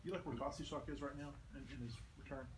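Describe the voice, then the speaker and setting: A man's voice: mid-range voice, fairly even intonation, fast talker. A man asking a baseball coach questions at a postgame press conference. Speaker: sports reporter. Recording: echoey and noisy, from a distance.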